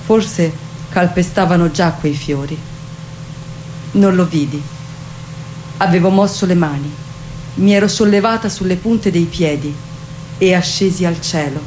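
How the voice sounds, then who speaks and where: A woman performing a theatrical monologue as an ageless, detached, proud legendary queen, in measured, deliberate fragments. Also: lowish voice, a little mellow, a little hiss or hum, natural voice, low-quality recording. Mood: wistful. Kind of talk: theatrical dramatic monologue, slow literary recitation.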